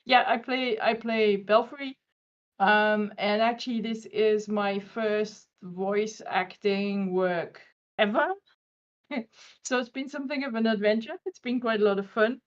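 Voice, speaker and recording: A woman's voice: mid-range voice, steady tone, a little slow. Dull-sounding woman on a voice call. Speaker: guest voice actor in a fandub. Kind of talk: casual livestream chat. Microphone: online voice call.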